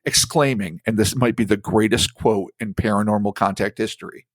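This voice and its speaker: A man's voice: on the low side, moderate pace, no echo. A man with a New Jersey accent, hosting a podcast, who reads out a story and breaks off into joking asides. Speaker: podcast host. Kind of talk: reading a story aloud with casual banter.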